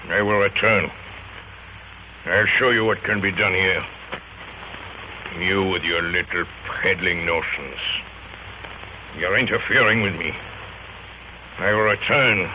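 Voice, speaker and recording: A sick, embittered trader in 1930s radio drama, obsessed and defiant. His voice sits low, with grand, fevered insistence, under the clear noise of an old broadcast recording.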